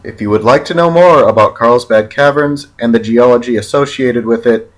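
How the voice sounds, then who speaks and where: A man's voice: mid-range voice, a little hiss or hum, poor audio. Man reading scripted educational narration. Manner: measured, factual, read-aloud delivery.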